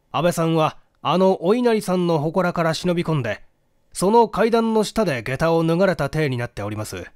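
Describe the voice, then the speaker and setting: Casting: male reader as polite, methodical detective for mystery audiobook; higher-pitched male voice, animated intonation, dry close sound. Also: no echo, close to an everyday voice. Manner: polite, measured, explanatory. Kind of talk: dramatic audiobook reading of a mystery.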